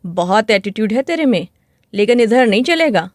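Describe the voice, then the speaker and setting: A woman's voice: fairly high pitch, animated, normal speed, no room sound, clean studio sound, natural voice. A woman voicing a hard, scornful middle-aged transgender woman who runs an escort trade, in a dramatized story. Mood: scornful, curt. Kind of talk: dramatized story dialogue in Hindi.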